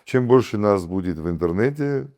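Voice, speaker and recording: A man with an Abkhaz accent, talking casually as an interview guest, with animated bursts and many fillers and restarts. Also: lowish voice, moderate pace. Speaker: guest, comedy team captain and producer.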